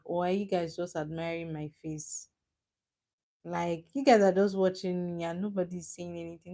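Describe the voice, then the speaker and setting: Young Nigerian woman, live stream host; casual, chatty, laughs easily. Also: on the low side. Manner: chatty, teasing, laughs often, answers chat comments. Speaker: livestream host.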